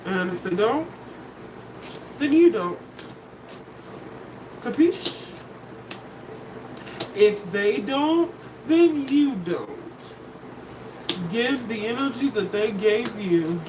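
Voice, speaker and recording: Brief: deep-voiced woman tarot reader, blunt, unhurried delivery, mic set back with noise behind her. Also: animated, recorded from a distance. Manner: blunt and candid, swears, laughs between points. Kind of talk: casual collective tarot reading.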